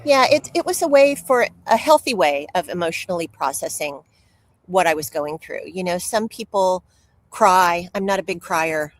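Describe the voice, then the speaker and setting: A woman's voice: fairly low pitch, animated, moderate pace, dry recording, poor audio. A woman speaking as an interview guest and author, telling personal stories in a candid, open way.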